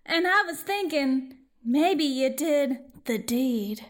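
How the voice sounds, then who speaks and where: A woman puts on a coy lady in a spoof advert sketch. Her voice is high and drawn out, suggestive and insistent. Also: expressive, takes it slowly. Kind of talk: comic sketch acting.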